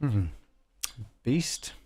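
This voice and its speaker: A man hosting a live audio software tutorial, hesitantly muttering to himself as he works through the steps. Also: very slow, over loud music. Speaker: host of an audio production live stream tutorial. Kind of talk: live software demonstration, thinking aloud.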